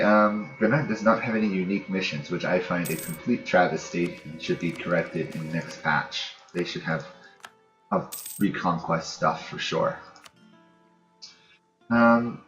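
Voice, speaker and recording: A low-pitched man talks through his game in a loose, unscripted way, as a let's play host would. He often hesitates and thinks aloud, letting thoughts trail off. The recording is dull and lo-fi, with music playing behind him. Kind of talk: casual unscripted game commentary. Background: background music.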